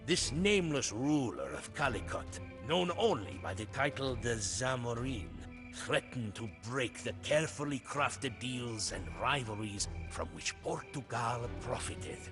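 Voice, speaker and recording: A man's voice: medium pitch, lively intonation, measured pace, close to an everyday voice. A man playing a proud Portuguese seafarer, narrating a strategy game's storybook campaign in a grave, theatrical manner. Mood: ominous, bitter. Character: proud Portuguese seafarer recounting his captain's conquests. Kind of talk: dramatic scripted storybook narration for a strategy game. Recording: studio voice over prominent music.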